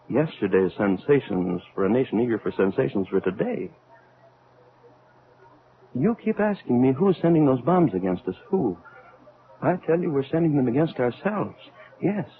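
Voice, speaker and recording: An older male actor playing a remorseful, weary atomic scientist in an old-time radio drama, speaking in a solemn, halting, oratorical way. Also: mid-range voice, close to an everyday voice. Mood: grave, bitter. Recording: tinny, band-limited old radio recording.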